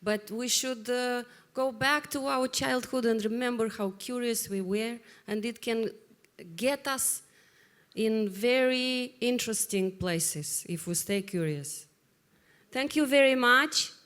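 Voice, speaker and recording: A woman's voice: on the high side, slow, fairly bright tone. A woman moderating a live startup panel, speaking in an upbeat, conversational way. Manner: upbeat, conversational, wraps up the session.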